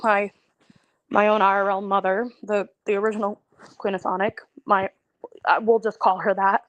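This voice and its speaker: A woman in her twenties venting in a personal video, talking in a halting, weary way with many pauses and restarts. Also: mid-range voice, level tone, no echo, low-quality recording. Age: 20s. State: emotionally tired. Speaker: content creator.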